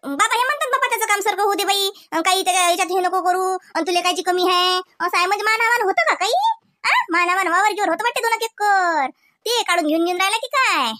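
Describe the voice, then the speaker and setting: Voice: very high voice, quick pace, bright, forceful tone, dry recording. A performer voicing a nosy, suspicious village aunt in a comedy cartoon, nagging with pointed questions in a rural Vidarbha accent. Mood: indignant. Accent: rural Maharashtra, Vidarbha.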